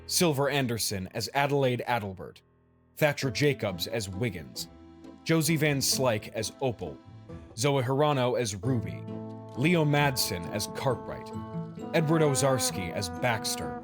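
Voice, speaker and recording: A man announcing the closing credits of an audio drama in scripted narration. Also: mid-range voice. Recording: guitar music under the voice.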